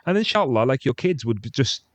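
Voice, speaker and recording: A man's voice: mid-range voice, big pitch swings, fairly quick, no room sound. A man co-hosting a podcast, talking in a thoughtful, reflective way and often adding "you know". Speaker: podcast co-host. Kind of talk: casual chat.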